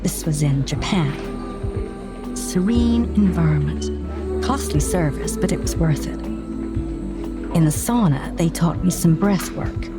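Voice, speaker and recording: A woman's voice: fairly low pitch, expressive, takes it slowly, pauses often, loud music behind the voice. A woman narrator voicing a bossy, caring young woman friend in an audiobook drama, with a commanding, teasing manner. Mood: calm, instructing.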